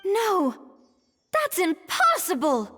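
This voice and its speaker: Poised assertive chef, lively intonation, light music behind, video game. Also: female voice, very high voice, slow, exaggerated voice. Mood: shocked. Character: proud, exacting female chef, poised and assertive.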